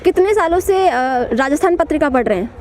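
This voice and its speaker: A woman reporter asking polite questions in a street interview, pausing with fillers. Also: extremely high pitch, lively intonation.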